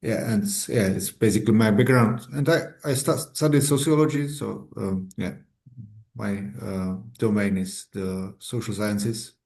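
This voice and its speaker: A man speaks very slowly over a video call, his voice gravelly. He pauses often and fills gaps with uhs and ums. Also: fairly low pitch, steady tone, darkish tone. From Czech Republic. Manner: hesitant, many fillers. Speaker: library open science staff. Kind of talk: online meeting self-introduction.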